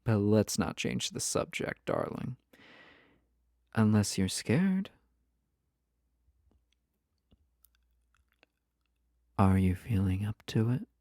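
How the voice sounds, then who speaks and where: A flirty yandere lover acted out for an audio roleplay speaks slowly and intimately, right to the listener. The voice is mellow with a creaky edge, coy and possessive, slipping into breathy teasing. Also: mid-range voice, very animated, dry recording, studio quality. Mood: teasing, daring.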